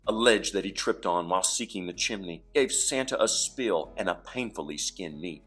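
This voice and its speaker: A man reading rhyming verse aloud for children as an expressive, dramatic storyteller. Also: sits low, moderate pace, slightly rough, soft background music. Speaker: storybook reader.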